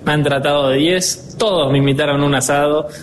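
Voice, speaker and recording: A man in his twenties with an Argentine accent, answering interview questions as a dealership director, earnest and upbeat, often pausing on fillers. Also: on the high side, steady tone, slight background noise. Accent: Argentine Spanish. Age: 20s.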